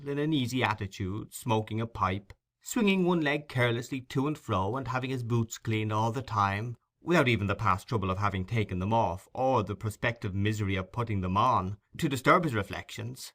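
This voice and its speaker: Bright, forceful male audiobook narrator. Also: on the low side. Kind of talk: expressive scripted literary narration.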